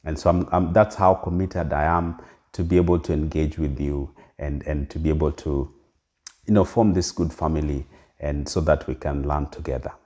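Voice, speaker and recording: A man's voice: a bass voice, fairly even intonation, normal speed, darkish tone. A middle-aged Kenyan man with a Kenyan accent talking earnestly to his viewers, full of ums and restarts. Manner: earnest, lots of fillers and restarts. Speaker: vlogger. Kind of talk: casual direct-to-camera talk. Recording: dull lo-fi sound.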